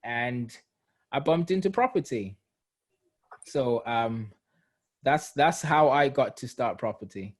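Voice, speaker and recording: Man, thirties, from Zimbabwe, interview guest, unhurried reflective storyteller, mid-pitched voice. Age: 30s. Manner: relaxed, reflective storytelling with fillers. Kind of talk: casual interview.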